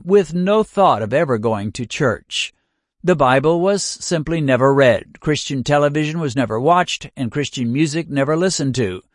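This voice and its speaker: An older man giving a scripted first-person reading, speaking in a deliberate, measured cadence and working through long lists. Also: fairly high pitch, no room sound, clean studio sound.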